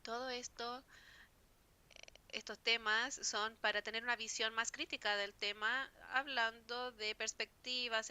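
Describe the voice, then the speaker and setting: Bright-voiced woman moderating a panel. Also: fairly high pitch, clean tone. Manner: formal, reads prepared remarks with occasional slips. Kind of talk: conference panel introduction.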